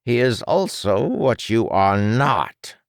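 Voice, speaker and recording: A smug, mocking mad-scientist villain from a superhero audiobook, played by a male narrator. He stretches his words with theatrical condescension, swinging widely in pitch over a creaky low register. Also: animated, dry recording, studio quality. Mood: smug, patronizing. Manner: theatrical, condescending, drawn-out delivery.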